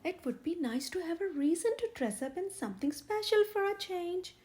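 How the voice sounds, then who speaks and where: A motherly storybook bear, read by a woman, kindly and proud. Her high, softly toned voice rises and falls freely as she encourages her family. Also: animated, normal speed. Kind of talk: children's storybook character voice.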